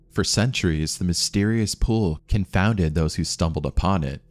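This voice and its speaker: A man with a very low voice reads a scripted documentary narration. He keeps a measured, steady storytelling pace throughout. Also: no echo.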